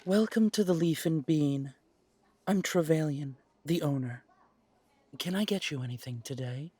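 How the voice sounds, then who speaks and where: A man's voice: fairly high pitch, a little slow, darkish tone, breathy edge, dry recording. A man playing a polite, composed, peace-loving cafe owner in a fantasy audio drama. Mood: courteous. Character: Trevelyan, courteous peace-loving cafe owner from a magical family. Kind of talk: audio roleplay drama acting.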